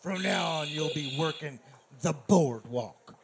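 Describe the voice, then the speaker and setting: A middle-aged man playing a gruff, sarcastic, irritable police boss in a comedic audio drama. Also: on the high side, lively intonation, takes it slowly, background noise. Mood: irritated. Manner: sarcastic, barking. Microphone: studio mic.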